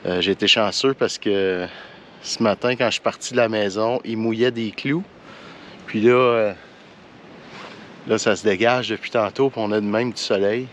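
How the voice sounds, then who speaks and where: Low-voiced Québécois man vlogging outdoors. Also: normal speed. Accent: Quebec French. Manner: relaxed, hesitant, colloquial. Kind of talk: casual vlog chat to camera. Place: outdoors on a forest hiking trail and mountain summit. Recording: outdoor ambient noise behind voice.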